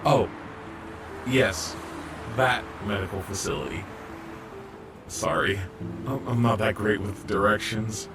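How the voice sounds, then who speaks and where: A man's voice: lowish voice, slow, pauses often, recorded from a distance, over music. A man playing a shy, awkward young robot medic in an audio drama, hesitant, stammering and apologetic. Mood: flustered, awkward. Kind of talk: fan audio drama acting.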